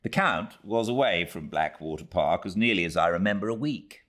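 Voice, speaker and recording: Man reading as a prim British housekeeper, low and lively. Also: normal speed. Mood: prim, earnest. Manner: prim, formal, sanctimonious. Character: prim, self-righteous middle-aged widowed housekeeper narrating her testimony. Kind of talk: classic novel audiobook narration in character.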